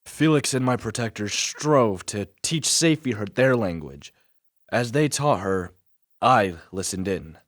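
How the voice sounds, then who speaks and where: Man acting as a low-voiced lonely creature, reflective. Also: lively intonation, unhurried, no echo, clean studio sound. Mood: reflective narration. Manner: formal, reflective. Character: lonely, thoughtful male creature telling his life story. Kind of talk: radio play narration.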